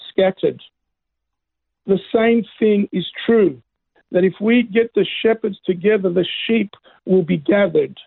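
A middle-aged man, a native Greek speaker, giving earnest testimony as an interview guest, with a raspy voice and emphatic, preacher-like phrasing. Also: high-pitched, no room sound. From Australia. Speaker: interview guest, pastor and evangelist. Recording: narrow phone-like band.

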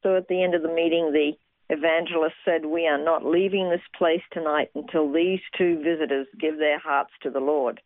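A middle-aged woman from Australia, a guest telling her faith story earnestly with many fillers and pauses. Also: low-pitched, fairly even intonation, no echo. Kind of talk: personal testimony interview. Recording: tinny phone-like sound, dry.

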